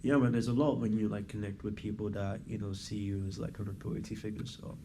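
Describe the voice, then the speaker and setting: A man's voice: fairly low pitch, little pitch movement, fast, warm tone. A young man as an interview guest, talking in a laid-back, rambling way, full of "like" and "you know". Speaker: guest, program member. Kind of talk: casual interview testimonial. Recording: clear background noise.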